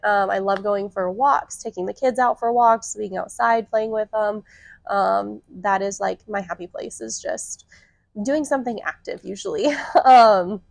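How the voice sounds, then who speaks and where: A woman of about thirty co-hosts a podcast in a casual, upbeat chat. She laughs easily and scatters fillers through her talk, and her voice has a gravelly, creaky edge. The sound is dry and close, with almost no room around it. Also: medium pitch, unhurried, no room sound. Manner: chatty and upbeat, laughs often, many fillers. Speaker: podcast co-host.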